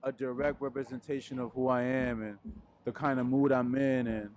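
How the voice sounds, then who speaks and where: A young man talks loosely and unhurriedly into a handheld microphone, trailing through fillers as his thoughts wander. His pitch sits mid-range for a man, and the delivery is casual and unpolished. He's indoors. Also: level tone, moderate pace, a little mellow. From Peru. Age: young adult. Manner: laid-back, rambling, frequent fillers. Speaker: musician guest. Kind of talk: casual interview answers. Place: indoors in front of a wooden wall. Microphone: handheld mic.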